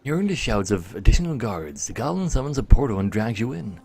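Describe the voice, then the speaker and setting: A man with a fairly low voice that often drops into fry, swinging widely in pitch as he commentates a game on a livestream. He shifts between muttered asides and dramatic read-aloud, with music playing behind. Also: sing-song, fast, a little mellow, slight background noise. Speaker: live streamer. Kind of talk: gameplay commentary, reading game text aloud.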